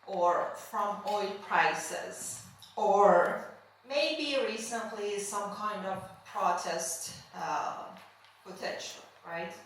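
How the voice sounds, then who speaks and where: A woman's voice: medium pitch, very slow, a little hiss or hum. A woman from Iran with a Persian accent, giving an earnest, emphatic conference talk that keeps checking in with "right?" and "okay?". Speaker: speaker. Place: large conference hall. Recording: echoey hall, from far off.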